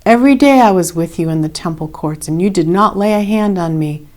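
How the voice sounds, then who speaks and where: A solemn, resolute man of sorrows in a scripture story, voiced by a woman. The delivery is measured and often drops to a hush, with a low pitch for a woman. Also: lightly acted. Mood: firm.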